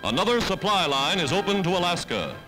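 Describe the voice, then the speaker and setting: Male newsreel narrator, 1940s: high, swooping pitch, declamatory patriotic delivery over a loud score. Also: very animated, a little fast, poor audio. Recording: vintage 1940s newsreel soundtrack. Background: loud music score.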